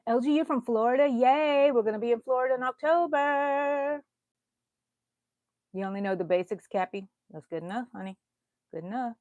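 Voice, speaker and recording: A woman's voice: fairly high pitch, moderate pace, a little mellow, no room sound, muffled recording. A middle-aged woman from Houston, chatting loosely with her livestream viewers while she crochets and answers their comments. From Houston, Texas. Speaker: crochet channel host.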